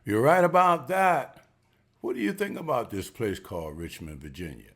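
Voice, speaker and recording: A man's voice: medium pitch, normal speed. A man playing a folksy, genial businessman. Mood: friendly. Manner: folksy, chatty, lively. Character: genial older businessman, banker. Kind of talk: amateur table read.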